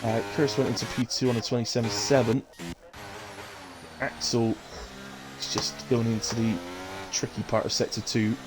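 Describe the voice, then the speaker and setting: A man commentating a sim racing stream, brisk and chatty, with a chesty cough. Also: mid-range voice, fairly even intonation, music in the background. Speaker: race commentator. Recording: noisy stream mic with car sounds.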